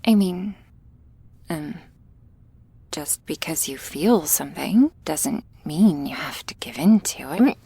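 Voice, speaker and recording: Shy female vampire played by a woman for ASMR roleplay: very slow, bashful, halting delivery, soft breathy voice, affectionate and easily flustered. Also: low-pitched, expressive, full character voice. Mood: hesitant, nervous. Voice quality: soft, breathy, often whispery. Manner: bashful, halting, nervously giggly.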